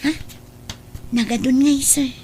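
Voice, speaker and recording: A woman acting a loyal, gentle young friend in an Ilocano radio drama, soothing and deferential, with an Ilocano accent. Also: high-pitched, steady tone, takes it slowly, slight background noise. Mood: kind, deferential.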